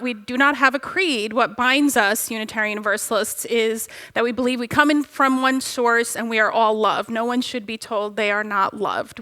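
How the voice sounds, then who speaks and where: A woman's voice: fairly high pitch, bright, forceful tone. A woman from Egypt whose first language is Arabic, speaking earnestly as a panelist at a conference, with some hesitations. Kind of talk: panel discussion. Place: conference stage.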